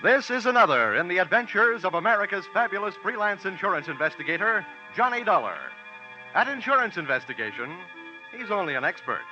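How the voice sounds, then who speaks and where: A bombastic, wry announcer for an old radio show. The voice is high and swooping, projected with theatrical emphasis. It sounds muffled, like an aged broadcast. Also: male voice, big pitch swings, brisk. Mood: grand, wry. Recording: muffled old radio, loud music bed.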